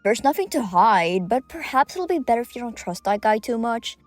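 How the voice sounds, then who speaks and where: Actress plays a sharp, gossipy young woman colleague; high, lively delivery. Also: a little fast, faint music behind. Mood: teasing. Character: clever, chatty young woman coworker. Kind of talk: audio drama acting.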